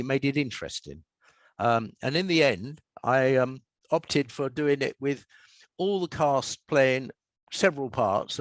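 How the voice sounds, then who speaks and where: A man's voice: medium pitch, dry recording. A man in his seventies with a southern English accent, telling anecdotes as an interview guest with dry, wry humour. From South London, England. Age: 70s.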